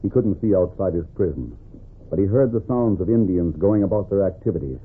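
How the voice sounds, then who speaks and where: A man's voice: sits low, animated, a little fast, husky. A man giving grave, suspenseful scripted narration in the formal style of a 1940s radio drama. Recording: narrow, tinny 1940s radio.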